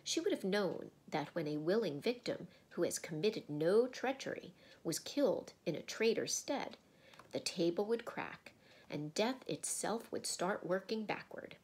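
Woman voicing a majestic, wise lion, husky and measured. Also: on the low side, lively intonation, a little mellow. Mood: solemn, triumphant. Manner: solemn, measured, declaiming. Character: great majestic male lion, wise and kindly.

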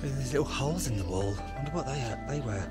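A man in his thirties, a travel vlogger talking to a handheld camera outdoors on town streets, chatty and excitable with playful asides. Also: medium pitch, fast talker, over loud music. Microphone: handheld camera at arm's length.

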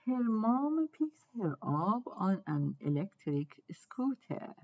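A woman reads a picture book aloud to young children, taking it slowly and deliberately. Her pitch rises and falls in a lively way, phrase by phrase. The recording is dull and lo-fi.

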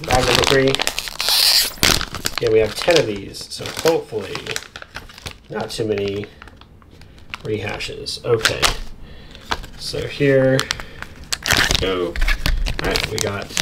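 A man hosting an unboxing video with casual, chatty commentary and easygoing asides to viewers. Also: fairly low pitch. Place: indoors at a tabletop. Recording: clear background noise, crinkling packaging. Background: crinkling plastic bags, clicks.

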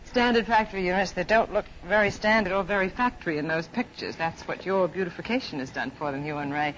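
Poised American woman, formal TV interviewer, 1950s broadcast sound with dull tone and clear background noise. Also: on the low side, quick pace, fairly bright tone. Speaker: television host. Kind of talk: polished television interview. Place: television studio. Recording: muffled, noisy 1950s television broadcast.

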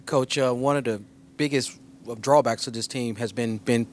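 A man's voice: mid-range voice, fairly even intonation, normal speed, a little hiss or hum. A man working as a reporter, putting a question at a press conference. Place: indoor press conference room, off camera.

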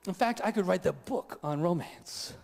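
A man's voice: high-pitched, lively intonation, moderate pace, vocal fry, a little mellow, pitched below the performer's own voice. A man doing a smug, self-satisfied husband. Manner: complacent, boastful. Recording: long hall reverb.

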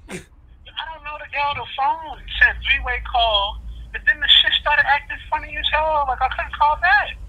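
High bright male guest, new jersey accent, animated and emphatic, phone call, faint noise. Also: moderate pace. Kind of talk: casual phone conversation.

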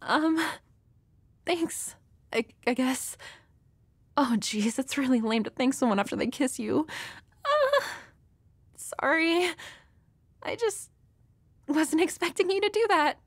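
A woman's voice: high voice, animated, slow, pauses often, no room sound, full character voice. A woman playing a shy, flustered young woman next door, nervous and hesitant, in an intimate romantic audio roleplay. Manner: nervous, hesitant, stammering, giggly.

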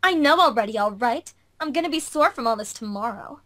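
A woman's voice: fairly high pitch, animated, brisk, fairly bright tone. A woman voicing an irritable, cocky young girl mage in an amateur anime visual novel dub, with bratty, exclamatory delivery. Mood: irritated.

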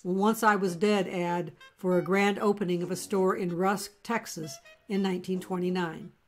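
A woman's voice: lowish voice, fairly even intonation, normal speed, soft background music. Woman narrating a history documentary.

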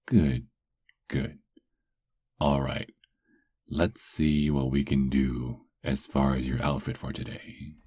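A man playing a doting, possessive vampire lover in an intimate ASMR roleplay, murmuring softly and coaxingly. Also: rumbling low, flat delivery, takes it slowly, pauses often, husky, no room sound, studio quality, exaggerated voice. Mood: tender, coaxing.

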